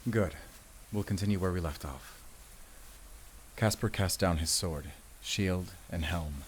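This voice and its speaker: An actor playing a battle-weary, protective werewolf hunter in an audio roleplay, with a grave, deliberate, commanding way of speaking to the listener. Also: male voice, deep voice, slight background noise. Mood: solemn storytelling.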